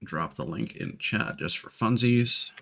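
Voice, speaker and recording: A man's voice: on the low side, lively intonation, unhurried, gravelly, fairly bright tone. A man in his thirties chatting casually on a game livestream, rambling and opinionated as he talks. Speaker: game streamer.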